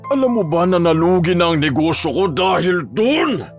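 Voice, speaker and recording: A man's voice: high-pitched, big pitch swings, moderate pace, faint music behind. An actor playing a cruel, greedy old father, harsh and threatening, in an audio drama. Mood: furious.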